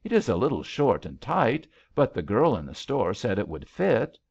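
A man's voice: fairly low pitch, big pitch swings, normal speed, vocal fry, a little mellow, slightly rough, heavily acted. A man voicing a spirited, stubborn young woman in an audiobook love story, eager and direct. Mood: puzzled, indignant. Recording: slightly dull, lo-fi audiobook recording.

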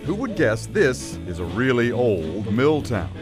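A man's voice: medium pitch, very animated, unhurried. A man reading scripted feature narration as a television reporter, in a folksy, dramatic storytelling manner. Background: music bed.

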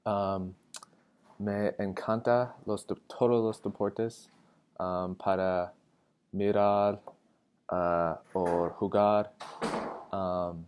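Very slow, hesitant young American man. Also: sits low, flat delivery, darkish tone, slight background noise. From Atlanta, United States. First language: English. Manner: hesitant, searching for words. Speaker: college student. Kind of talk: halting self-introduction in learner's Spanish. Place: indoor room with tall windows. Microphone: webcam framing with wired earphones.

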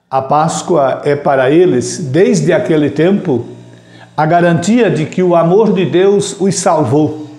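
A man in his sixties with a Brazilian accent, a priest giving a slow, measured devotional reflection into a lapel mic in a small room. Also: fairly high pitch, mellow, reverberant. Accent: Brazilian Portuguese. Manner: slow, measured preaching with open hand gestures. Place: small indoor room with shelves and a framed religious picture.

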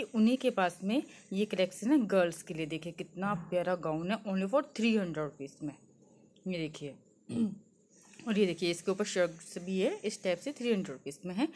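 A woman's voice: medium pitch, darkish tone. Chatty young woman touring a street market. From Delhi. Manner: chatty, mixes English words into Hindi, many fillers. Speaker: shopping vlogger. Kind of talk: walking market tour, showing goods to camera. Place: crowded outdoor street market. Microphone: handheld phone, speaker off-camera.

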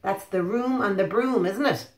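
A woman's voice: medium pitch, moderate pace. Irish woman reading to small children, echoey room. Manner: expressive, questioning, simple child-directed talk. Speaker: preschool storyteller. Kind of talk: animated children's story reading with asides. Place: living room, indoors. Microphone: phone or webcam at about arm's length.

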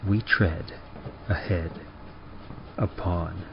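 Deep-voiced man slowly reading eerie verse. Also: steady tone, gravelly, background noise. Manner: grave, measured, theatrical. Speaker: podcast presenter reading a horror poem. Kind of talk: dramatic rhymed poetry reading in old-time radio horror manner. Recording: dull lo-fi sound under loud music. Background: music.

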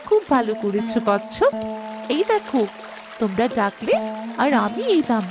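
Lady doing a sweet earnest cartoon girl, high voice that swoops around, a bit wheedling, light music under. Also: very animated, moderate pace. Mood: glad. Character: sweet, earnest, pleading young girl, a cherished daughter. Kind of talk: cartoon voice acting.

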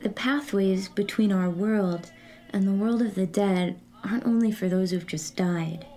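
An actress playing an eerie, secretive woman who believes in spirits, speaking in a measured, solemn, cryptic way in an audio drama. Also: medium pitch, mellow, lightly acted.

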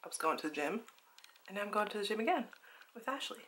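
A woman's voice: medium pitch, expressive, fast, a bit bright, a little hiss or hum, poor audio. A woman, chatting casually and giggly to a handheld vlog camera. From Newfoundland, Canada. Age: 20s. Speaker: vlogger. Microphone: camera held at arm's length. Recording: handheld camera audio with music.

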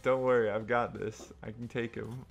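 A man's voice: medium pitch, little pitch movement, moderate pace, creaky, lo-fi sound, loud music behind the voice. A man giving casual, jokey, teasing commentary while playing a video game with friends. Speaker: player and host of an online game video. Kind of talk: casual gaming commentary and banter.

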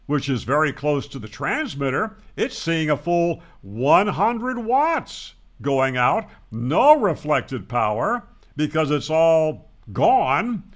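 An older man, in his sixties or beyond, presenting a conversational tutorial in a plain-spoken, emphatic way, pausing to stress key words, close on a microphone in a small home room. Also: fairly high pitch, a bit bright. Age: 60s or older. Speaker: presenter of a ham radio channel. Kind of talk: explanatory tutorial to camera, conversational. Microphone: large broadcast microphone close up on a boom.

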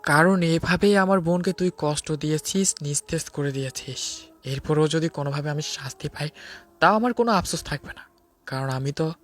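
A man playing a stern, commanding investigator with a cold, vengeful streak in an audio drama, speaking deliberately. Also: fairly high pitch, a bit bright, lightly acted. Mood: bitter. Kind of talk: dramatised audio love-crime story. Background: music.

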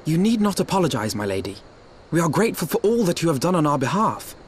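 A man's voice: a little high, sing-song, quick pace, slight background noise. An actor playing an earnest, well-bred young nobleman in a video game, formal and courtly. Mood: solemn resolve. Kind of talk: dramatic video game acting.

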